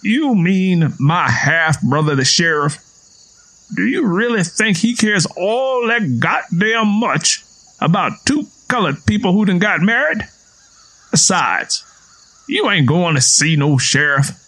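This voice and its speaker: Man voicing a high-pitched, taunting Southern man. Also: sing-song, slow pace, pushed and bright, heavily acted, poor audio. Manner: folksy, taunting, argumentative.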